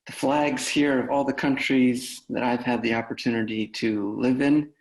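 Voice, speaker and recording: A man's voice: medium pitch, normal speed, low-quality recording. A man in his thirties from Poland, Polish his first language, giving a loose, filler-heavy career talk on a video call. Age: 30s. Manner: relaxed, loose, with many fillers and restarts. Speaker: guest speaker, data analyst.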